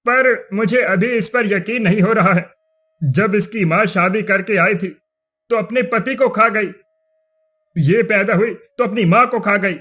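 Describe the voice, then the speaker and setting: A man's voice: high-pitched, sharp tone, full character voice. A man playing an accusing, superstitious village chief in a cartoon story, speaking insistently. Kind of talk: Hindi cartoon story acting.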